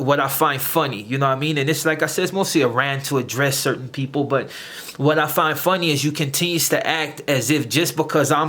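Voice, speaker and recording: A man's voice: medium pitch, a bit bright. A man hosting his own channel, ranting straight to camera at his critics in a confrontational, lecturing way, often stumbling and repeating words as he searches for them. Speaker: channel host. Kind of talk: direct-to-camera rant.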